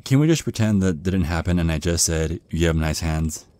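A very low, close and dry male voice , an actor's, plays a bashful young vampire in an ASMR roleplay. He stammers nervously and rambles in awkward, halting bursts, easily flustered. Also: warm tone, dry recording, close to an everyday voice. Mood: nervous, eager.

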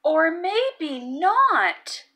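Slow, very animated woman reading to children. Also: extremely high pitch. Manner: sing-song, deliberate, emphatic on key words. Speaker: children's read-aloud narrator. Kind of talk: expressive picture-book reading with phonics teaching.

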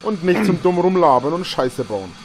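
A man's voice: sits high, big pitch swings, moderate pace, a little mellow, slight background noise. A man ranting in a boastful, insulting monologue. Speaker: streamer.

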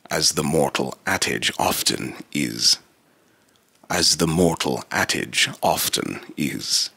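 A man voicing a solemn robotic entity, speaking in a portentous, deliberate way with a gravelly, raspy voice. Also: a bass voice, pushed and bright, dry recording, full character voice. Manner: portentous, deliberate, repeats lines to retry them. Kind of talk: voice line recording.

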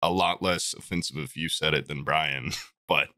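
A man co-hosting a podcast, chatting casually with quick, chuckling interjections. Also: rumbling low, fairly even intonation, pushed and bright, no echo, studio quality. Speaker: podcast co-host. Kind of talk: casual chat.

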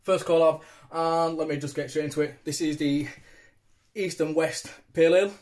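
A man in his thirties with a British accent and a slightly high voice. He talks informally and chattily, like a vlog host reviewing to camera at home. The sound is that of a phone a short way from him. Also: steady tone, moderate pace, darkish tone. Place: small domestic room indoors. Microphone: phone propped at arm's length.

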